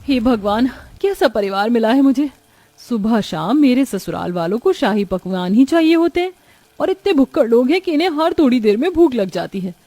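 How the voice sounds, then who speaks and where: A woman's voice: on the high side, animated, moderate pace, warm tone, no echo. A woman voicing an overworked young new bride who grumbles plaintively in a cartoon story. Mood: weary, complaining. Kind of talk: animated moral story dialogue.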